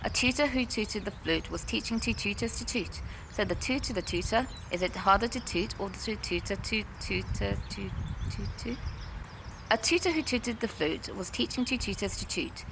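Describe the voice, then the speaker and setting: A woman's voice: mid-range voice, normal speed, vocal fry, noisy background. A woman hosting a casual, lightly scripted question-and-answer vlog. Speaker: vlogger and animator hosting a Q&A.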